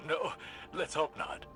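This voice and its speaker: An actor playing a grave, cautious scholarly mentor in a video game drama, speaking in a deliberate, formal, thoughtful manner. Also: male voice, on the high side. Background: music.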